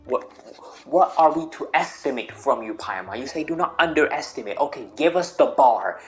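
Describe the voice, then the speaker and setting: A man's voice: on the high side, expressive, moderate pace. A man doing casual game commentary, slangy and teasing, repeating phrases for emphasis as he reacts. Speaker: gaming streamer. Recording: commentary mixed with game music.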